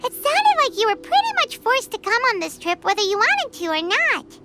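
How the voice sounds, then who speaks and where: A woman voicing a small, excitable fairy companion in a video game, with a squeaky childlike voice and chatty delivery. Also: normal speed, heavy background noise, heavily acted. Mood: wry, chatty. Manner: chatty, exclamatory, refers to herself by name. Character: small excitable chirpy fairy companion, talks in third person. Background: game music.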